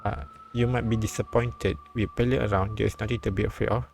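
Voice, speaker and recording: A man reads a visual novel aloud on a stream, halting and repeating words. His voice is lowish, flat in melody and creaky, with some music behind. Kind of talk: read-aloud narration with casual asides. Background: soft game music.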